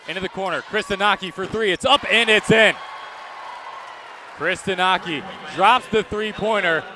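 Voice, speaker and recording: High, forceful young male basketball play-by-play announcer. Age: young adult. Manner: projected, excited calling of the action. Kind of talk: live basketball play-by-play commentary. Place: indoor basketball arena. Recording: gym noise behind radio commentary. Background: court noise, bouncing balls.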